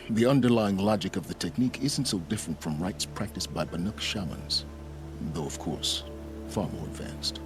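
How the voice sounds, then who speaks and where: An actor playing an aloof, cunning researcher in a video game cutscene, speaking in a measured, erudite and condescending way. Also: male voice, sits low. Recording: music behind the dialogue.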